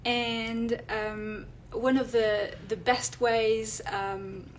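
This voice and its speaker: A woman's voice: a little high, flat delivery, drawn-out words. Woman with a French accent for a casual live vlog, hesitant and unpolished, slight background noise. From France. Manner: hesitant, many fillers and restarts. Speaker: illustrator and vlogger. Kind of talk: live video chat. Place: indoors at home, near a street.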